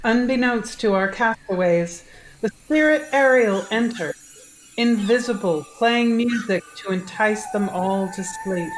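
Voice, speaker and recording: A woman's voice: medium pitch, unhurried, noisy background. A woman giving scripted narration for an audio drama, with music playing behind.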